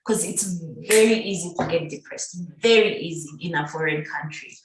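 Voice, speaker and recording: A woman's voice: lowish voice, moderate pace, background noise. Chatty woman in an echoey room. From Uganda. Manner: chatty and animated, laughs often, frequent fillers. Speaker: podcast guest. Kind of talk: casual conversational interview.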